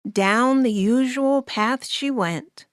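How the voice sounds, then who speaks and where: Female storybook narrator, slow and expressive, deliberate rhyming read, dry close sound. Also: on the high side, dry recording. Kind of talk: scripted children's story narration.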